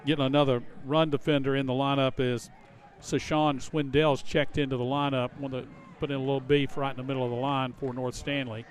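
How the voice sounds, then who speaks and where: A man's voice: medium pitch, little pitch movement, a little fast, hardly any pauses. A man giving conversational color commentary during a live football game, outdoors at a stadium.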